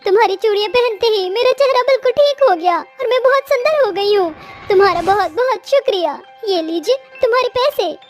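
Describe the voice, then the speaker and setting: A woman voicing an excitable, grateful young village girl in a storybook, gushing and amazed. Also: very high voice, fairly quick, soft background music, pitched above the performer's own voice. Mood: amazed delight.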